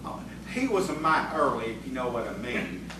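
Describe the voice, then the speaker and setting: An actor playing a jumpy, cowardly sidekick in audio theatre, flustered and squeaky. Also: male voice, sing-song, normal speed, close to an everyday voice. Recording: distant, echoey, noisy stage recording.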